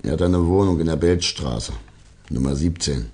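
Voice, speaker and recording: Low-voiced shady snoop caught out, defensive, radio crime play. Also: male voice, normal speed, darkish tone. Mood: resigned, confessing. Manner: protesting, defensive. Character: cornered male hired snoop, indignant, evasive, finally giving in. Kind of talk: German radio crime drama acting.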